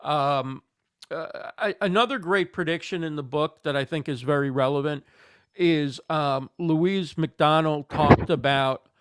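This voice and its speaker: Male interview guest, somewhat high and smooth, slightly hoarse, halting and thoughtful. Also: dry recording. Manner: thoughtful, with frequent fillers and repeated words. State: slightly losing his voice. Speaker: guest expert on livestreaming. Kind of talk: conversational interview answers.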